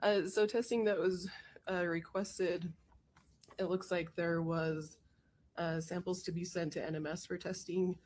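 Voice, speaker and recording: A woman testifying as a witness in a courtroom, hoarse from a cold, giving careful, matter-of-fact answers. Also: lowish voice, level tone, a little slow, a little mellow, a little hiss or hum. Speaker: trial witness, forensic technician.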